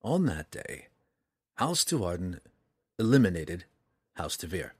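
Man as a soft, grave dark elf, low and husky. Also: very animated, takes it slowly, no room sound, clean studio sound, full character voice. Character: haunted young male dark elf, guarded, solemn. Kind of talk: fantasy audiobook character.

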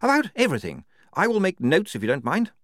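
A man voicing a brisk, polite young army major in a storybook, courteous and officious. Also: sits high, wildly swooping pitch, a bit bright, no room sound, studio quality, voice pushed up, heavily acted. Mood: courteous, official.

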